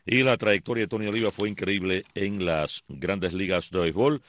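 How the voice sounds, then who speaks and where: A man's voice: deep voice, no echo, studio quality. A man presenting the morning sports segment on the radio, in an emphatic announcer style. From Cuba. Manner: emphatic, declaiming announcer delivery.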